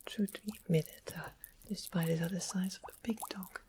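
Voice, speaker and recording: Loud noise and small clicks surround a soft, breathy, low-pitched whisper. It is a sweet-mannered witch played by a woman in a fantasy ASMR roleplay. She explains things slowly and patiently, with a gently wry edge. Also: fairly even intonation, lightly acted. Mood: confiding, gently wry.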